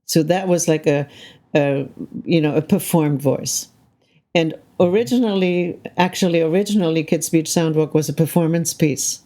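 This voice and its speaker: A woman's voice: low voice, moderate pace, warm tone. An older woman, a soundscape composer, being interviewed, speaking thoughtfully and often checking in with "right?". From Canada. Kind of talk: reflective conversational interview.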